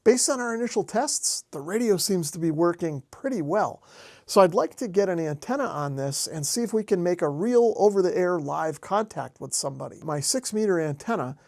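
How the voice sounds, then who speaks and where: An American man with a high voice talks through a practical demonstration in a casual way. He is recorded dry, with hardly any room around him, and explains things like a hobbyist host. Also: animated, moderate pace, creaky, darkish tone, no room sound. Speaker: host, amateur radio hobbyist. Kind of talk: casual hands-on demonstration narration.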